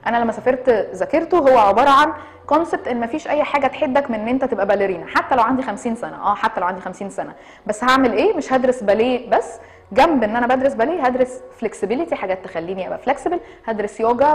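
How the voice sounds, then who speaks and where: A woman in her thirties with an Egyptian accent, explaining things in an interview with emphatic repetition and English words mixed in. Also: on the high side. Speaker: dance and fitness studio co-founder, interviewee. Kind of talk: interview talk to camera, mixing in English words. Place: small room indoors.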